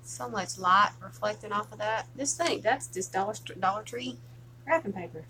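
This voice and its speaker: Woman, soft relaxing voice, craft stream chat, bright tone, light background hum. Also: medium pitch, normal speed. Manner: chatty, talks to viewers while working. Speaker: craft livestream host. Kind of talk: casual crafting livestream chat.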